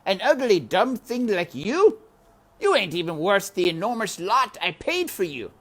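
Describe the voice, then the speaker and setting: Woman playing a loud, scornful rustic uncle. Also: mid-range voice, big pitch swings, a little slow, pitched above the performer's own voice. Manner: uneducated rustic speech, scornful. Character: gruff, mean adult man, mocking guardian called Uncle. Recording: windy outdoor ambience noise.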